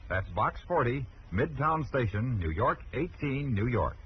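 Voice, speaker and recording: An American man works as a 1950s radio announcer. His delivery is polished and emphatic, with widely swinging pitch. The recording is muffled, with faint noise. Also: sing-song, unhurried.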